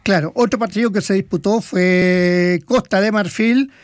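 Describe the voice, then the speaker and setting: A man's voice: high voice, fairly even intonation, unhurried, no echo, clean studio sound. A man co-hosting a casual sports talk segment, rambling through match results with many fillers and hesitations. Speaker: sports commentator co-host. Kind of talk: casual radio sports chat.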